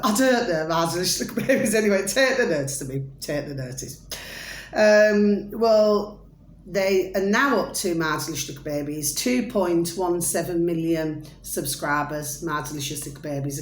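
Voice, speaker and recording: Loud rambling woman vlogger. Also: fairly low pitch. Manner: loud, chatty, rambling, keeps addressing viewers with a pet phrase. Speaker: vlogger reviewing videos. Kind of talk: rambling casual commentary to viewers. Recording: echoey room with faint noise.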